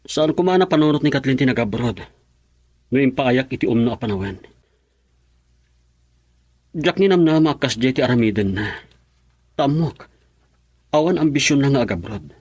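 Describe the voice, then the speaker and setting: An actor with a Filipino accent playing a troubled, frustrated young husband in an Ilocano radio drama. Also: male voice, mid-range voice, animated, normal speed, pauses often, darkish tone, slightly rough, no echo.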